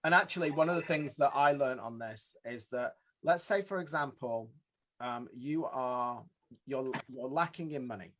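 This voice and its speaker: A man hosting a podcast, talking in an earnest, quick way and cutting in with his own thoughts. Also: medium pitch, a bit bright. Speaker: podcast host. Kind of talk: podcast interview.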